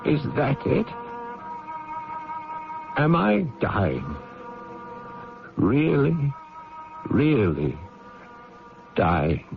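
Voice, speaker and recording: An actor playing a lonely, guilt-ridden man in a halting old-time radio drama soliloquy. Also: medium pitch, huge pitch swings, gravelly, full character voice. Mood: pained, frightened. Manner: halting, brooding soliloquy with outcries. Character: lonely, guilt-ridden man, brooding, stricken with chest pain. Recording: dull old radio recording with music behind.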